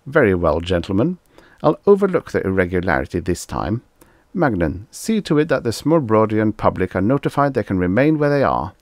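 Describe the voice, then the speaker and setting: A man's voice: fairly low pitch, very animated, brisk, dry recording, pitched above the performer's own voice. A man voicing a pompous, easily panicked ambassador, barking and indignant in a science fiction audiobook. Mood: self-satisfied. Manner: barking, indignant, self-important.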